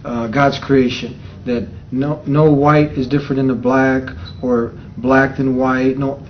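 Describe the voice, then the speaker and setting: A man's voice: mid-range voice, little pitch movement, unhurried. A man hosting an on-location interview, talking conversationally with frequent restarts. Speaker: show host. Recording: slightly dull sound with faint noise.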